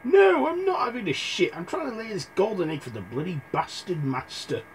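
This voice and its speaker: A man's voice: sits high, sing-song, fairly quick, a little hiss or hum, voice pushed up. Fed-up foul-mouthed goose in a comic fairy tale, voiced by a man. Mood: indignant. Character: fed-up swearing golden goose.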